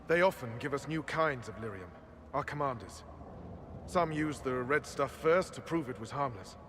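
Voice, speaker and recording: Man acting as a resolute knight, commanding, earnest, mid-pitched, fantasy game drama, clear noise behind. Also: expressive, normal speed, natural voice. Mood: grim regret. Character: grim, resolute knight templar officer.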